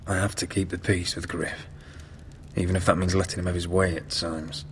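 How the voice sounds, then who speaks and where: Man acting deep-voiced solemn young lord. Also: little pitch movement, moderate pace, close to an everyday voice. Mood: grave, worried. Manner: solemn, formal, resigned. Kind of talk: dramatic video game acting. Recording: clear background noise and ambience.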